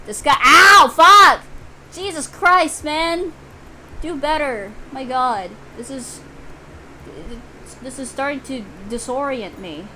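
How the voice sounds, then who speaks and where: A woman's voice: high voice, takes it slowly, pushed and bright, slight background noise, low-quality recording. A woman doing casual live gameplay commentary in a playful, exclamatory way, swearing freely. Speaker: game streamer.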